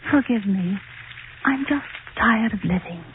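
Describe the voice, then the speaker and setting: A woman's voice: medium pitch, lively intonation, unhurried, close to an everyday voice. An actress playing a despairing, world-weary young woman voicing her farewell letter in resigned 1940s radio drama style. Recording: hissy, narrow-band 1940s radio recording.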